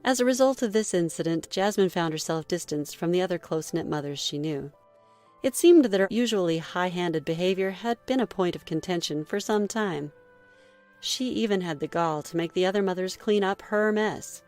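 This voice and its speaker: Woman, story narrator, lowish pitch, scripted first-person reading, measured delivery, faint background music. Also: lively intonation, a little mellow.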